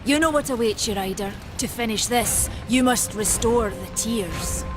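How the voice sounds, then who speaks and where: A woman's voice: fairly high pitch, normal speed, over music, close to an everyday voice. A woman playing a stern, proud forge Maker of an ancient race in a fantasy game, solemn and commanding, with old-fashioned wording. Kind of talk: dark fantasy game acting.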